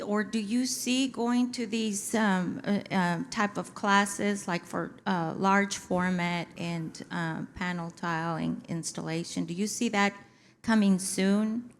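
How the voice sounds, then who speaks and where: A woman's voice: medium pitch, a little hiss or hum. A woman in the audience asking a question hesitantly, with many fillers. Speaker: audience member.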